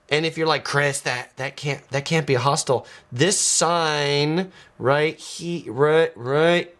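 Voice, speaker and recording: Chatty American man, lively voice. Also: mid-range voice. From San Diego, California, USA. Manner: chatty, loose, many filler words. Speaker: travel vlogger. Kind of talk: casual live-stream chat reading viewer comments.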